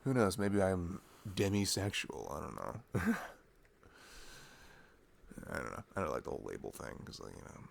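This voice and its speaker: Low, creaky young boyfriend, acted by a man. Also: big pitch swings, a little hiss or hum, exaggerated voice. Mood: tender, musing. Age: young adult. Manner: rambling, halting, chuckling asides. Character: sweet, introverted college-age boyfriend, affectionate and chatty. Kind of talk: intimate ASMR boyfriend roleplay, casual rambling.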